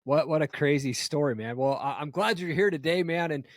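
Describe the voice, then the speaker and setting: An upbeat man in his forties hosts a podcast from a home studio. His voice sits a little high for a man, and the recording is close and dry with no room sound. He keeps it casual and friendly. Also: brisk, dry recording. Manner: upbeat, casual, says man and dude a lot. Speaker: podcast host. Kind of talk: enthusiastic interview podcast. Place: home studio with a flag backdrop. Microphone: studio mic on a stand, headphones.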